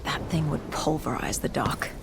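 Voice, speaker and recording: A performer playing a battle-worn cybernetic soldier who recounts a zombie apocalypse as video game narration, in a grim, measured, hard-boiled manner with a gravelly voice. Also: medium pitch, in a whisper, close to an everyday voice. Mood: grim, resolute. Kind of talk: scripted cinematic game narration. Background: loud cinematic game music.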